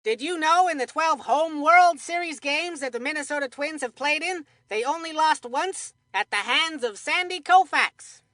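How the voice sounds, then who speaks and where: A woman's voice: high-pitched, expressive, moderate pace, few pauses, fairly bright tone, dry recording, clean studio sound. A woman voicing a cheerful, chatty kid baseball commentator in a video game, with folksy, scripted delivery. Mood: upbeat, informative. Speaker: sports commentator.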